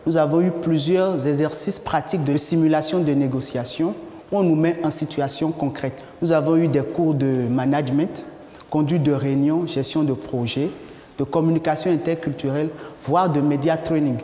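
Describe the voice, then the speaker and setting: A man from Benin gives a student testimonial in a fairly high, soft-edged mellow voice. It comes through with long hall reverb and a little background noise. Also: fairly even intonation, moderate pace. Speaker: international student. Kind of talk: testimonial interview. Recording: film replayed in echoey, noisy hall.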